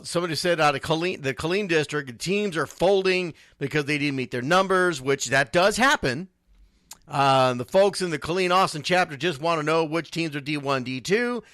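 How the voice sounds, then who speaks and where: A man's voice: a little high, animated, normal speed, fairly bright tone, dry recording. A man hosting a casual sports talk radio show, speaking emphatically and repeating phrases to drive points home.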